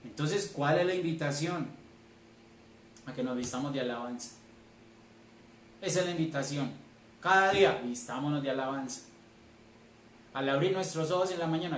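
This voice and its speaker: A Colombian man, a church elder, preaching a sermon in an emphatic way, repeating key phrases. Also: on the high side. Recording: roomy, distant mic, faint noise.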